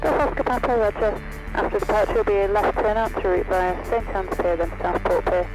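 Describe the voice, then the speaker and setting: A man's voice: high-pitched, a little fast, low-quality recording. A man working as an air traffic controller, giving clipped instructions over an aircraft radio. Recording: aircraft radio with loud music.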